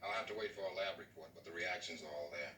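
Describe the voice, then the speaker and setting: Fast-talking, low-voiced weary doctor. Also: male voice, pushed and bright. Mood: clinical, brisk. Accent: American. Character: brisk, world-weary, sardonic male hospital doctor. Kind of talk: 1960s TV drama acting. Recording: echoey, distant old TV audio, faint noise.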